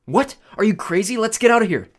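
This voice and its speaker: A man's voice: high-pitched, very animated, a little fast, pitched above the performer's own voice. A man voicing a startled, reluctant young boy hero in a storybook, incredulous and exasperated.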